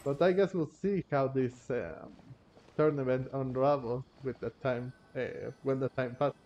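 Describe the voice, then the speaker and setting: A man hosting a gaming video with casual commentary, halting as he searches for words. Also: mid-range voice, fairly even intonation, a little mellow, slight background noise. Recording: dull, lo-fi microphone sound. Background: game music.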